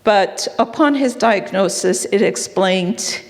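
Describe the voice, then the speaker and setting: A woman's voice: mid-range voice, slow pace. A woman with a Canadian accent giving an earnest, candid personal talk at a conference, with fillers and small asides. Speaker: conference speaker. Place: conference hall. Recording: long reverb of a hall.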